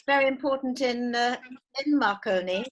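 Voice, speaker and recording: An older woman with a fairly high voice chats casually on a video call. She is hesitant, circling back and repeating words as she goes. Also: normal speed. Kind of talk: casual chat.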